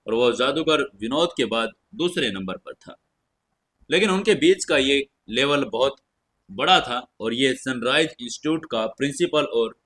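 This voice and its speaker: A man's voice: medium pitch, a bit bright, husky. A man narrating a fantasy audio story in a scripted, dramatic storytelling style.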